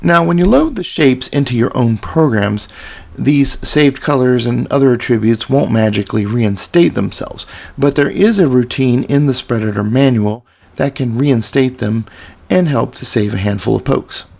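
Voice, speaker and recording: A man explaining a programming tool step by step in a relaxed way, his voice slightly raspy. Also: medium pitch, normal speed. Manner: relaxed explanatory walkthrough with casual asides. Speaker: software tutorial presenter. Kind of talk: screen-recorded programming tutorial.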